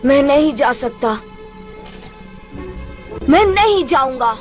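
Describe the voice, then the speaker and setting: A woman's voice: high-pitched, animated, takes it slowly, faint music behind. A woman voicing a mischievous, whiny young boy in a Hindi-dubbed cartoon, with exaggerated childlike delivery.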